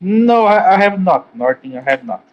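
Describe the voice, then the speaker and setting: A man from Brazil with a Brazilian Portuguese accent, a Portuguese native speaker explaining earnestly and haltingly as a moderator over a voice call. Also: high-pitched, big pitch swings, muffled recording.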